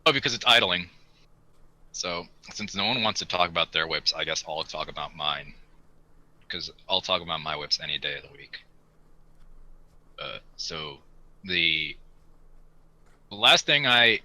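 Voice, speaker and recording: Deep, very bright-voiced enthusiastic man. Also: expressive, unhurried, long pauses, no room sound. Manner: enthusiastic, informal. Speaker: podcast co-host. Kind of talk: casual hobby chat about model kits.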